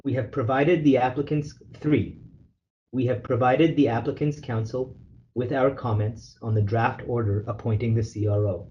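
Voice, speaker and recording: A man's voice: medium pitch, steady tone, normal speed. Man on a dull, noisy conference call. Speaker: lawyer, representative counsel leading a call. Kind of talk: reading a formal legal letter aloud on a conference call. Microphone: telephone conference call.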